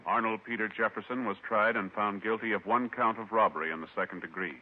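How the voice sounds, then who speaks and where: A man's voice: lowish voice, fairly even intonation, fast. An American man announcing formally from a script in 1950s radio style. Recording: muffled 1950s radio, loud music.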